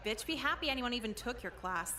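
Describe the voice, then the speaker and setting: A woman voicing a cynical, foul-mouthed teenage schoolgirl in a visual novel game, sarcastic and deadpan. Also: a little high, fast talker. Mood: scornful.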